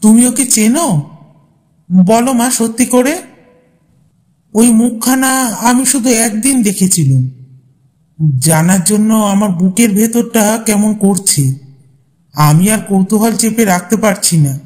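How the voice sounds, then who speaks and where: An anxious young son played by a man in a horror audio drama, pleading insistently. His voice is high and unhurried, washed in long reverb. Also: a little mellow.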